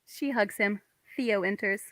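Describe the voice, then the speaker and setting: A woman's voice: medium pitch, a little slow, fairly bright tone, no room sound. A woman reading stage directions aloud as scripted narration in a table read.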